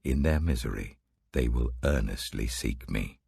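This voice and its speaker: Very deep, gravelly older man reading scripture. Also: expressive, a little mellow. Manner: measured, solemn delivery that swells for quoted proclamations. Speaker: Bible reader.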